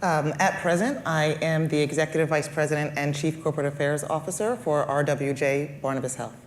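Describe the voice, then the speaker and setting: A woman guest with a deeper voice answering on a panel, speaking in a deliberate, measured way with formal wording. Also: steady tone, slight background noise. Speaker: guest, healthcare executive.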